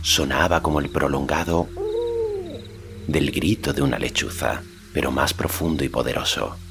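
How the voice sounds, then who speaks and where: A man narrating a fantasy audiobook in a measured storytelling manner. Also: very deep voice, steady tone, creaky, fairly bright tone, a little hiss or hum. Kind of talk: scripted narration of a fantasy novel.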